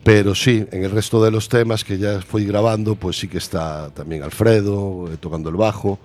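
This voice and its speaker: Very deep-voiced Galician man, hesitant. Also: steady tone, normal speed, a little mellow. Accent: Galician Spanish. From Galicia, Spain. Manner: hesitant, self-deprecating, searching for words. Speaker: musician guest. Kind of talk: casual interview chat.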